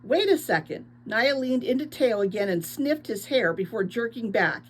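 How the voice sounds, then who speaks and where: A woman's voice: on the high side, animated, normal speed, slightly rough, poor audio. A woman reading a book aloud expressively as a teacher, adding short explanatory asides.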